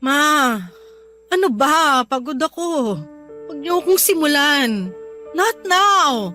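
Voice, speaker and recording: A woman's voice: high voice, lively intonation, slow pace, bright, forceful tone, soft background music, heavily acted. A woman playing a desperate young mother in a radio drama, pleading and emotional. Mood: exasperated. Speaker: heroine.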